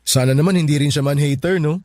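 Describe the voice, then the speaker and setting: A man's voice: a little high, quick pace, darkish tone, no room sound, natural voice. A man with a Filipino accent playing a polite, easygoing young boyfriend, eager to please, in a radio drama. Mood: playful. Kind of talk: Tagalog radio drama acting.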